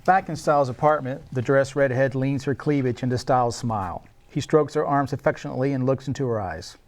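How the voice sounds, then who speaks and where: A man's voice: mid-range voice, brisk. A man narrating screenplay action and scene headings at a table read, in a scripted, dramatic style.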